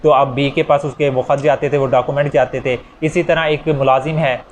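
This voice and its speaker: A man with a Pakistani accent giving an explanatory law lecture with examples, mixing in English legal terms that he reads out slowly. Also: mid-range voice, steady tone. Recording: clear background noise.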